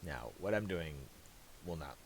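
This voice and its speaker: A man narrating a casual software tutorial, thinking aloud in a halting way. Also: a bass voice, lively intonation, creaky. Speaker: tutorial presenter. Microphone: voice-over for a screen recording. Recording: hissy amateur voice-over.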